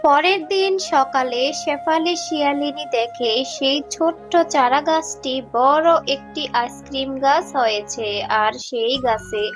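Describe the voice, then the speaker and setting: A woman's voice: high voice, measured pace, faint music behind, poor audio. A woman narrator reading a scripted cartoon fairy tale.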